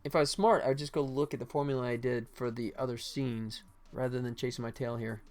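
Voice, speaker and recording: A man's voice: medium pitch, steady tone, brisk, a little mellow. Casual American man thinking aloud. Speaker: livestream host, game developer. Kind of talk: casual livestream chat while working. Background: music.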